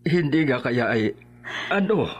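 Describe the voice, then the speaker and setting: A man playing an older grandfather in a horror radio drama, halting yet emphatic in defending his son. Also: medium pitch, expressive. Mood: disbelieving, defensive. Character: elderly grandfather, loyal father refusing to doubt his son.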